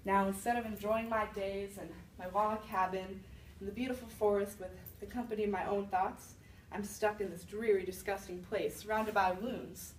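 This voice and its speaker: A woman playing an indignant old widow accused of being a witch, telling her side of a fairy tale in a conversational, halting monologue. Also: medium pitch, fairly even intonation, natural voice. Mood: offended, bitter. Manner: conversational, self-justifying, with halting restarts. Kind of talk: first-person storytelling monologue. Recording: roomy, distant mic with faint noise.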